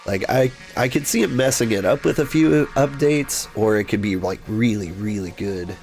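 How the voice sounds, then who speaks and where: A hoarse, gravelly-voiced man chatting casually as he streams a game, rambling and thinking aloud. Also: lowish voice, normal speed, a little mellow, soft background music. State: hoarse from being unwell. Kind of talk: casual gameplay commentary.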